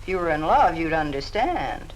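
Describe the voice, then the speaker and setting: A woman's voice: low-pitched, big pitch swings, moderate pace, rough recording. An actress playing a brassy, scornful young American woman, with a slangy, drawling, mocking delivery in mid-century film melodrama style. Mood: lovestruck, dismissive.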